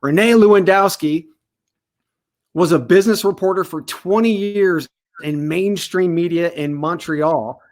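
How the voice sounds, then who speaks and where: A man's voice: sits high, measured pace. A man from the United States, loose and joking, hosting an online panel and introducing guests. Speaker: host.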